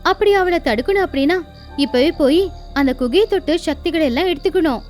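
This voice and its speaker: A woman's voice: very high voice, brisk, darkish tone, soft background music. A woman voicing a scheming, ambitious female goat in a cartoon fable.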